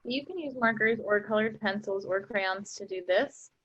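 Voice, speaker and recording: A woman's voice: medium pitch, moderate pace, a bit bright, dull audio. A young woman presenting a workshop, cheerfully explaining each craft step as she works. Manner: upbeat, explains steps while working. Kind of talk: instructional craft demonstration.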